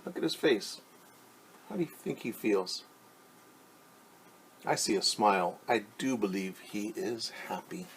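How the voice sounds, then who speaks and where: A man teaching young children through a story, reading aloud and explaining gently and patiently with questions. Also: on the low side, expressive, measured pace, long pauses, slight background noise, low-quality recording.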